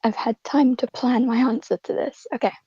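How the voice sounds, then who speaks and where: A woman's voice: a little high, steady tone, normal speed, warm tone, breathy edge, dry recording, highs cut off. A woman chatting casually as a guest on a podcast. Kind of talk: casual chat.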